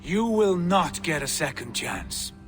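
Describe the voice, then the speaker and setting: Lordly Viking leader, music behind. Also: male voice, a little high, expressive, takes it slowly. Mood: haughty. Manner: lordly, commanding. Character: proud Viking lord, grandiose, driven by prophecy. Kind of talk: video game cutscene acting.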